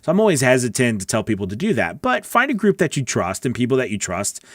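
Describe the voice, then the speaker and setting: A man's voice: mid-range voice, wildly swooping pitch, fast, dry recording. Middle-aged man, dry close-miked sound. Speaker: host, voice-over coach. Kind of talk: casual livestream Q&A chat. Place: small home recording studio. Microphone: close broadcast mic on boom arm.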